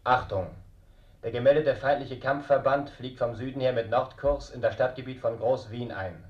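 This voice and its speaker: Dumpf hallender Radiosprecher der Kriegszeit. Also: male voice, mid-range voice, moderate pace. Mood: urgent, formal. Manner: formal, clipped, impersonal. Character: official wartime radio announcer reading air-raid warnings. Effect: old radio set. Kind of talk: 1940s German radio announcement. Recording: dull, echoey old radio sound.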